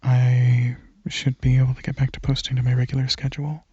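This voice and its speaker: A man speaking softly and breathily, often near a whisper, in a casual, halting ASMR ramble into a close microphone. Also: medium pitch, monotone, slightly rough, dry recording, muffled recording. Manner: hesitant, halting, informal. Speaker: ASMR channel creator.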